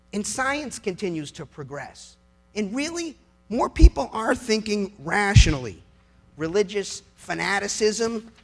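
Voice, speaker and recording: A man's voice: high-pitched, vocal fry, a bit bright. An American man giving a persuasive public speech, speaking emphatically in plain colloquial language and repeating phrases for effect.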